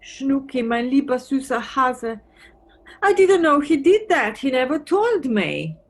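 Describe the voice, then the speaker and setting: An actress playing a wry, wistful married police detective from Antwerp in an audio play, musing conversationally. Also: female voice, high voice, sing-song, a little slow, exaggerated voice. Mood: astonished, tender. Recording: noisy recording with background ambience.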